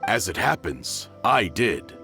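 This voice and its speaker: A man's voice: low-pitched, big pitch swings, slow, creaky, music in the background, full character voice. An actor playing a helpful, cautious townsman in a video game, speaking earnestly. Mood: matter-of-fact.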